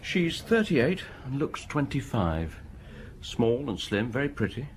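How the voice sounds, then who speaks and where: A man's voice: medium pitch, big pitch swings, measured pace, slight background noise. An actor playing a diligent local policeman in a radio drama, reporting in a dry, matter-of-fact way. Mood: dry, confiding.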